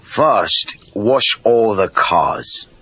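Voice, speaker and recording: An actor playing a wise, calm old Okinawan karate master with a Japanese accent, speaking terse broken English with deliberate pauses in an audio drama. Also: male voice, on the low side, husky, no room sound, full character voice. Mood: firm, solemn. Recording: muffled lo-fi read-along cassette tape.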